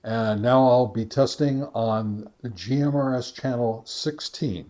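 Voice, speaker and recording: A man narrating a casual product test as a review host, talking step by step in a halting way. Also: mid-range voice, darkish tone. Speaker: host of a ham radio gear review channel.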